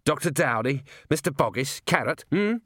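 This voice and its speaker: A male narrator acts a police commander in an audiobook, belligerent and sardonic. He mocks with sham politeness, his pitch moving freely in a dry, close recording. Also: animated, normal speed, a bit bright, no echo. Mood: feigned innocence. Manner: mocking, gruffly sarcastic.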